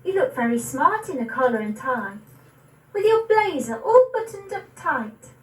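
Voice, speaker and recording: A young woman of eighteen playing a curious, innocent little granddaughter, asking questions in a measured verse recital. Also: high-pitched, sing-song, reverberant, recorded from a distance, low-quality recording. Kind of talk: dramatic poetry recital.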